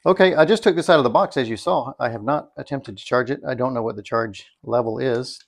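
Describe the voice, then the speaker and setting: A man with a medium-pitched voice that has plenty of gravelly fry talks through a product demonstration. He is casual and unscripted, thinking out loud. His manner is folksy and dryly wry. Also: quick pace. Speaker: product reviewer.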